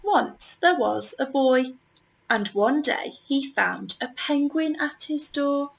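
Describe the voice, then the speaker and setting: A woman reading a children's picture book aloud as a storyteller, measured and with deliberate pauses. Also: sits high, lively intonation, vocal fry, low-quality recording.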